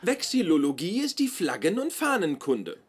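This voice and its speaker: An actor playing a pedantic, self-important young scientist in a dubbed sitcom, with precise, lecturing delivery. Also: male voice, high voice, wildly swooping pitch, moderate pace, heavy background noise. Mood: lecturing, pompous. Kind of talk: dubbed German sitcom acting.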